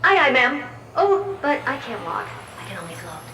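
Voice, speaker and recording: A high, theatrical floating puppet. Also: female voice, moderate pace, background noise. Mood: fawning. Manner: theatrical, flattering, playful storyteller. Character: fawning theatrical floating puppet helper, female. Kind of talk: video game voice acting. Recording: roomy and distant, with music. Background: game music.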